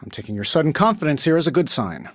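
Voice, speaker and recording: An actor with an American accent playing a cocky, wisecracking genius billionaire superhero in a video game, with glib, sarcastic banter. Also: male voice, a little high, big pitch swings, fast, a bit bright, dry recording, studio quality. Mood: cocky, wry.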